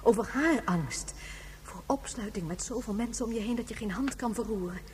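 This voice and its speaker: An actress playing a very old, gentle woman who reflects and reminisces in a measured way, in a science fiction radio drama. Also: fairly high pitch, close to an everyday voice. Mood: reminiscing. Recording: hollow long reverb, humming background noise.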